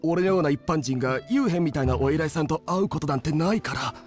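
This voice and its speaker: An actor playing a friendly young male inn cook in an anime game, with rough, casual, hearty speech. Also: fairly high pitch, expressive, quick pace, a little mellow, faint music behind, natural voice. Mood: modest, curious.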